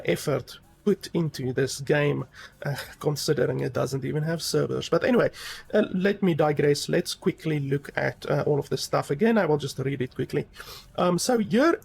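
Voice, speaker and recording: A man's voice: a little high, creaky, breathy edge. A man giving a rambling, casual game review, with many fillers and emphatic repeats.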